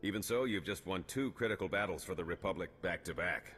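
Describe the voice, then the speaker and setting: An actor playing a formal, assured male colonel in a video game, speaking in a measured, official way. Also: deep voice, a bit bright. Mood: formal, congratulatory. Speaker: military officer character. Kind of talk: video game cutscene acting. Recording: long hall reverb with music behind.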